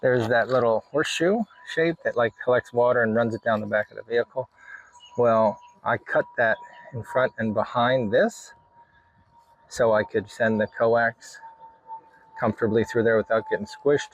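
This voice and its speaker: A man giving a casual hands-on demonstration in an informal, halting, plain-spoken way, as a hobbyist making a video. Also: medium pitch, low-quality recording. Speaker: hobbyist vlogger.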